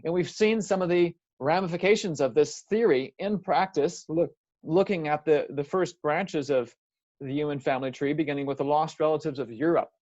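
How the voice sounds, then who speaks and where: A man's voice: fairly high pitch, moderate pace, darkish tone, no echo. A man giving an earnest, methodical lecture, walking the listener through a timeline. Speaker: lecturer presenting a series. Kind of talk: explanatory lecture. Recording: dry, slightly dull recording.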